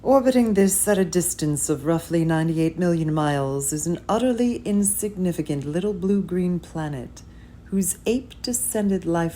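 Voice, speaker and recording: A woman giving a scripted literary narration, reading a story in measured, deliberate phrases with pauses. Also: fairly low pitch, mellow, slight background noise.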